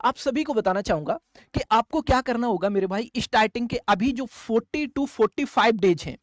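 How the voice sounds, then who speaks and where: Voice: mid-range voice, lively intonation, brisk, dry recording. A teacher giving an instructional lecture, addressing students as friends and brothers and often checking that they follow. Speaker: exam-prep teacher.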